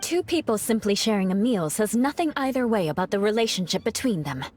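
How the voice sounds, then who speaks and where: A woman's voice: mid-range voice, animated, a little fast, darkish tone, close to an everyday voice. Woman playing a proud, aloof noblewoman knight. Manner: formal, haughty phrasing. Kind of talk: anime video game voice acting.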